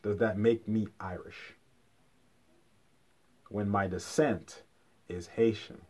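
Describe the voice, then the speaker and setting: A man's voice: on the low side, fairly even intonation, slow pace, darkish tone. A man giving an informal explanatory lecture in colloquial speech, emphatically repeating key phrases and asking rhetorical questions.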